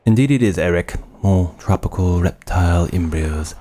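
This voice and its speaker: An actor playing a cold, obsessive surgeon and mad scientist in a horror audio drama, speaking in a clinical, measured, formal way. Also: male voice, low-pitched, mellow, close to an everyday voice. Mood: brisk. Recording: faint background ambience noise.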